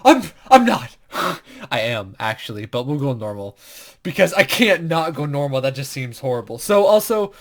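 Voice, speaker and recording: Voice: medium pitch, sing-song, moderate pace. A let's play host with a chatty, self-deprecating manner, commenting casually on a game and reading its text aloud.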